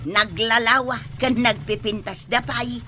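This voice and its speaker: A woman's voice: on the high side, fairly even intonation, measured pace, sharp tone, a little hiss or hum, pitched below the performer's own voice. A woman with an Ilocano accent playing a fussy, scornful village woman who complains and exclaims in a comedy drama. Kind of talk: barangay comedy drama acting.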